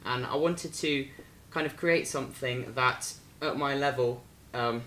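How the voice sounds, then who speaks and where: Man chatting casually, medium pitch. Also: measured pace, bright, forceful tone, slight background noise. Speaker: amateur director, writer and actor. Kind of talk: casual interview talk.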